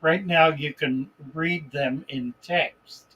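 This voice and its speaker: Slow-talking husky man hosting a stream. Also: a little high, highs cut off. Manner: relaxed, unhurried, chuckles between remarks. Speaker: livestream host. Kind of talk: casual live chat, greeting viewers and reading comments.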